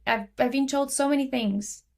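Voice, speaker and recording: Woman, Argentinian accent, slightly high voice, chatty guest. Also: normal speed, darkish tone. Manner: chatty, many fillers and restarts. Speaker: podcast guest. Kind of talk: casual interview talk.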